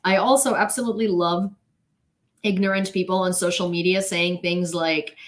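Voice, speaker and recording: A woman's voice: on the low side, steady tone, normal speed. A young woman whose first language is Ukrainian, giving blunt, opinionated news commentary on a casual livestream from her bedroom, with many fillers and restarts. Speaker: news vlogger. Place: small bedroom at home.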